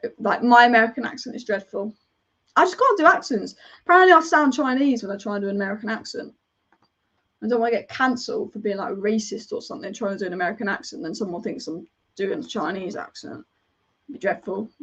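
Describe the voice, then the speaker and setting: A woman's voice: mid-range voice, fast talker, a bit bright, highs cut off. A woman commentating a football match as a fan on a live watch-along, chatty and partisan, rambling and reacting to the play as it happens.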